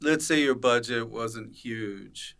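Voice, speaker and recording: A man's voice: mid-range voice, slow, creaky, fairly bright tone. A man hosting a podcast in a casual, comedic interview style, joking and interjecting as he chats. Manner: joking, interjecting, riffing with mock voices. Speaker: podcast host.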